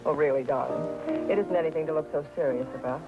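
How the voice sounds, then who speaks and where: Flippant, cruelly cool rich wife, American, condescending 1950s crime-drama delivery, dull soundtrack, loud music. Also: female voice, deep voice, fast talker. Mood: coolly dismissive. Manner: breezy, condescending, coolly dismissive.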